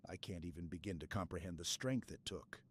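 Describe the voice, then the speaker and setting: Guy doing a stoic space super-soldier for asmr roleplay, deep voice, earnest and halting, some background noise. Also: close to an everyday voice. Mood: weary, sincere. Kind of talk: intimate ASMR roleplay acting.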